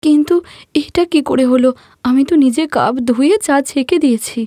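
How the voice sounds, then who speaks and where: A woman acts a meek young daughter-in-law in a cartoon family drama. The voice is high and close, with no room sound. The tone is gentle, plaintive and pleading. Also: a little fast, no echo. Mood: bewildered. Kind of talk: Bengali cartoon story voice acting.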